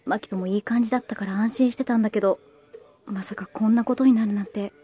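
A woman playing a sympathetic, comforting female friend in a radio drama, speaking gently and consolingly. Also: medium pitch, fairly even intonation, fast talker, slightly rough, close to an everyday voice. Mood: sympathetic, saddened.

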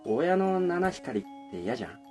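A man's voice: sits high, sing-song, normal speed. A man acting a confident young heir over guitar music. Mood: earnest, casual. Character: confident, forward young male heir working as an architect. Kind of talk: Japanese radio drama acting. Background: music with plucked guitar.

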